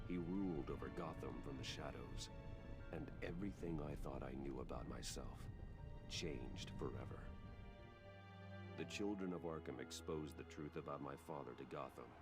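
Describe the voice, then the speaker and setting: A brooding masked vigilante from a video game. His voice sits extremely low, thick with gravel. He speaks gravely and deliberately, with music clearly playing behind him. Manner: grave, measured. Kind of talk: video game voice acting, dramatic narration.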